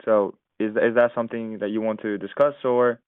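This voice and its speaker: A man from New York making a polite, smooth sales call, heard over a phone recording. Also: lowish voice, little pitch movement, moderate pace, no room sound. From New York, USA. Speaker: cold caller. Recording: tinny phone-line recording.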